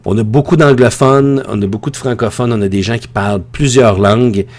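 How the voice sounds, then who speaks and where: A man's voice: mid-range voice, a little fast, a little mellow. Male teacher with a Quebec French accent. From Quebec, Canada. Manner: digressive, conversational, opinionated. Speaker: high school teacher. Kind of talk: informal online lecture.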